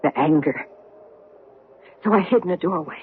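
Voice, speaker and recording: An actress in a 1970s radio drama playing a woman survivor who calmly accuses a war criminal, in an intense, measured way. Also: fairly low pitch, husky. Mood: bitter. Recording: narrow tinny 1970s radio broadcast.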